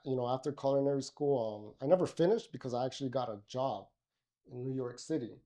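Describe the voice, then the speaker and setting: A man's voice: mid-range voice, fast, dark tone. A middle-aged man from Miami, a podcast guest, talking casually with many fillers and restarts. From Miami, Florida. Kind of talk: casual interview conversation.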